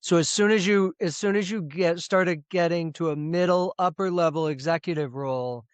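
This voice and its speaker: A man's voice: on the high side, normal speed, clean tone, dry recording. A middle-aged man speaking casually as an interview guest on a video call, rambling with frequent restarts and repeated words. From United States. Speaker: presentation coach, guest.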